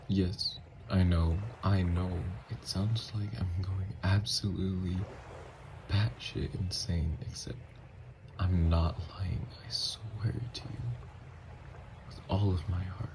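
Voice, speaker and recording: A man playing a tormented, lovestruck young vampire in an intimate ASMR roleplay, speaking in a slow, halting, hushed murmur. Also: sits low, background noise. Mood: pained, earnest. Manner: slow, halting, hushed confession with long pauses. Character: tormented, lovestruck newly turned male vampire, confessing tenderly. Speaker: ASMR voice actor.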